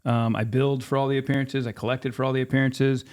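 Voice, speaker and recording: A man's voice: mid-range voice, fast talker. A man in his fifties telling stories casually as an interview guest, acting out bits of past conversations as he goes. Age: 50s. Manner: animated retelling with quoted dialogue. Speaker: podcast guest, former sports mascot performer.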